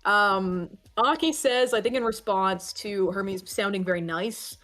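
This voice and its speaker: A woman's voice: mid-range voice, a little slow, a bit bright. Playful woman reading stream chat. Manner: playful, teasing, reads comments aloud. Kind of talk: casual livestream chat.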